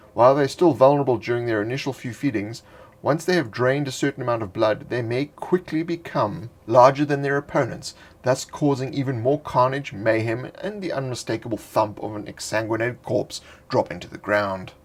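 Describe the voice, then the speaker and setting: A man reading a fantasy monster entry from a tabletop game book in a dry, playful storytelling manner with wry asides. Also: mid-range voice. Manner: louder and more excited in the closing address. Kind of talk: scripted narration of a fantasy bestiary entry.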